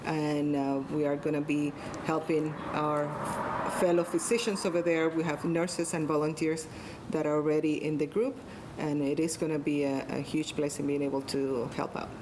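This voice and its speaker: Low-voiced woman guest amid noticeable rumble. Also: level tone, normal speed. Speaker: guest doctor. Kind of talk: unscripted interview remarks. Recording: loud rumbling background noise.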